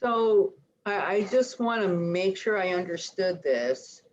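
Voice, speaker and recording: A woman's voice: medium pitch, expressive, takes it slowly. A woman on a video call, carefully checking the pricing details aloud. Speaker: convention planning team member. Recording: dull audio with faint room noise.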